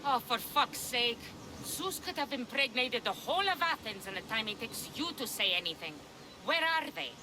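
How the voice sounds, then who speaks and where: A woman's voice: a little high, expressive, normal speed, background noise, lightly acted. An actress playing a blunt, sardonic, foul-mouthed female pirate ship captain in a video game cutscene. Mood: impatient.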